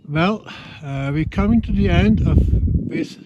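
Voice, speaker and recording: A man with a Swiss accent, explaining geology outdoors on a mountain hike in a measured, teaching way. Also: high-pitched, muffled recording, heavy background noise. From Switzerland. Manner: measured explanatory, slightly non-native phrasing. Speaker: hiking geology guide. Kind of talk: outdoor geology field narration.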